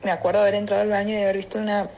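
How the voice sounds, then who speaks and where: A woman tells a personal story casually in an audio message. Her voice sits at an ordinary pitch, with faint noise and ambience around it. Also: little pitch movement, racing, warm tone. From Salta, Argentina. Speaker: contributor of a recorded audio message. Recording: background noise and ambient sound.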